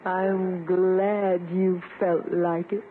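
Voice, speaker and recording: A woman's voice: lowish voice, flat delivery, very slow, phone-quality sound. An American actress playing a coy, gushing, romantic woman angling for a husband, in 1950s radio comedy style. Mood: dreamy, gushing. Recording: thin, faintly noisy 1950s radio recording.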